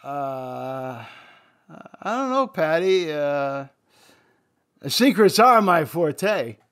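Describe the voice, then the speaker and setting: Slow-talking, smug government agent. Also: male voice, fairly high pitch, sing-song, fairly bright tone, clean tone, heavily acted. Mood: nervous, evasive. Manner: flirtatious, hesitant and stammering. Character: smug adulterous male CIA agent, boastful, evasive. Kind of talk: radio play acting. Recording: radio drama with music underneath.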